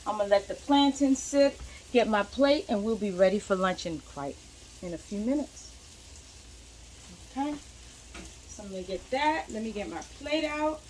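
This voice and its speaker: A woman's voice: medium pitch, expressive, moderate pace, pauses often, a little mellow, slight background noise, poor audio. A young woman, a cooking vlogger, chatting casually as she fries food in her home kitchen and moves around the stove.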